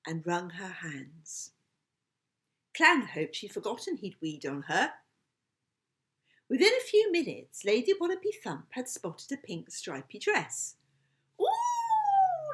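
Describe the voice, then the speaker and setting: A woman reading a children's story aloud in an expressive, playful storytelling manner. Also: mid-range voice. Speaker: author reading her book.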